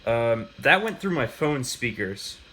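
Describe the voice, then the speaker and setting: Halting man with a bright, forward voice. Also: medium pitch, sing-song, a little hiss or hum, rough recording. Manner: halting, self-correcting delivery. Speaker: host of a hobbyist demonstration video. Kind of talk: casual unscripted how-to demonstration.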